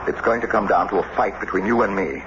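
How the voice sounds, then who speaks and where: A man's voice: on the low side, fast, close to an everyday voice. An actor playing a blunt, suspicious, irascible eighteenth-century American statesman in a radio drama, with a formal, emphatic manner. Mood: intense. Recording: noisy, muffled old radio broadcast recording.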